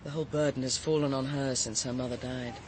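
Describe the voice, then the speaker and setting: A woman's voice: a bass voice, fairly even intonation, fast, noisy background. An actress playing a poised, sociable countrywoman in a radio drama, speaking politely and articulately with slight hesitations in a British accent. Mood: sociable, concerned. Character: poised, sociable, frank countrywoman, a writer and cook.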